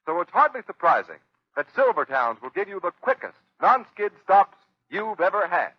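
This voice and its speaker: A man announcing and reading a sponsor's pitch in emphatic, salesmanlike 1930s radio style. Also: very animated, normal speed, slight background noise. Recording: muffled 1930s radio broadcast recording.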